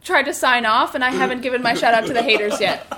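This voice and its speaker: A woman's voice: high voice, fast talker, a loud crowd. A woman co-hosting a podcast, playful and teasing in casual chat. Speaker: podcast co-host.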